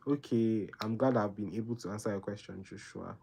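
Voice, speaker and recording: Young man, Nigerian accent, fairly low voice, casual live Q&A host, earnest, repetitive, chatty. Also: fairly quick, noisy background. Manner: earnest, rambling, keeps adding "right?" and repeating himself. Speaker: livestream host answering viewer questions.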